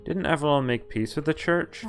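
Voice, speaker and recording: A man streaming a visual novel, reading the game's text aloud and breaking off for quick casual reactions and chuckles. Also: a little high. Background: faint game music.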